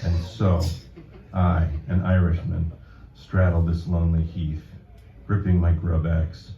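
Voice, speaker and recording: A man with a very low voice reads poetry at a live event. His delivery is deadpan and measured, and the sound is echoey, picked up from well back in the room. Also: creaky. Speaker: poet reading own work. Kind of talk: live poetry reading with informal introduction. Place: live reading event before an audience. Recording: dull, roomy, distant event recording.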